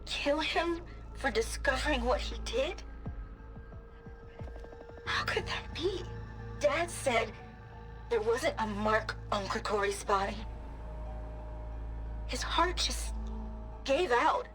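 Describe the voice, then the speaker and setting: A woman's voice: a little high, animated, slow, long pauses. An actress playing a grieving young woman in a video game, speaking a dramatic diary log. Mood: troubled disbelief. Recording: voice under clearly audible music.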